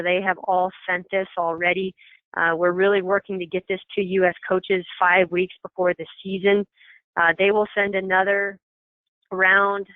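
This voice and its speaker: A woman walks coaches through reminders on a conference call, chatty and full of ums and restarts. Her voice comes through a tinny phone line with a dry sound. Her pitch sits in a middle range. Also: dry recording. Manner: chatty, many ums and repeated words. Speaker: staff member briefing coaches.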